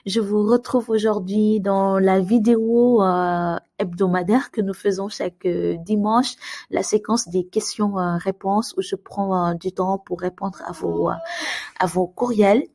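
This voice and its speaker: A woman's voice: mid-range voice, steady tone, unhurried, mellow. A woman hosting an advice channel, answering viewer emails in a casual, friendly way with many hesitations and fillers. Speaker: immigration advice channel host.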